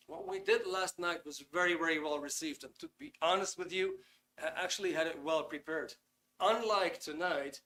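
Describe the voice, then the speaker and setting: A man with a Dutch accent hosting a live online webinar in a casual, chatty way, often pausing with ums. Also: a little high, a bit bright. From Netherlands. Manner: chatty, reads out chat comments, frequent ums. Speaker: webinar host.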